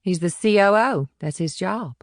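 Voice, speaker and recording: A woman's voice: medium pitch, moderate pace, no room sound, studio quality, natural voice. A woman playing a confident, proud and flirtatious innkeeper in audiobook dialogue, with a poised, self-assured manner. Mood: guarded. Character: confident, proud, flirtatious businesswoman running an upscale inn.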